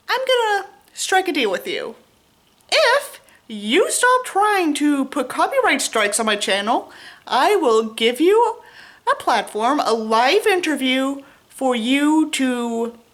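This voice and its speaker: A woman speaking as a YouTuber in direct address, with a deliberate, theatrical delivery. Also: high-pitched, very animated, fairly bright tone.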